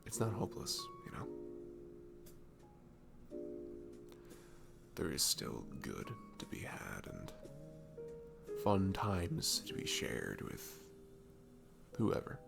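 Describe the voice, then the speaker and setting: A man plays a cute, self-deprecating barista in an ASMR roleplay, with a very low, crackly voice that swings expressively. He talks softly and intimately, slipping toward a whisper, with a faint Southern US drawl. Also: huge pitch swings, creaky, over music, exaggerated voice. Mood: gentle, consoling. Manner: soft, halting, chuckling, drifting into near-whispers. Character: cute, goofy, comforting young male barista, sweet and self-deprecating.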